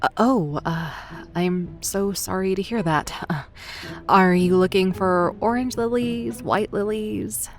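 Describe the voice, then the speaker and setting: An actress playing a polite, slightly awkward flower shop keeper in an audio drama, helpful and hesitant. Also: female voice, mid-range voice, slow pace. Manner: hesitant, helpful customer-service talk.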